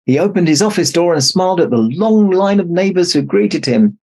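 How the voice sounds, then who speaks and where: A man narrating a children's story aloud as an expressive storybook reader. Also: high-pitched, fairly quick. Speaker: storybook reader in Santa persona.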